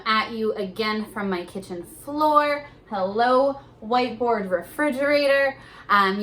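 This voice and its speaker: A young woman teacher at home on her kitchen floor, reading aloud slowly and sounding out words for young children. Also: mid-range voice, fairly bright tone. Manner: slow, encouraging, sounding out words.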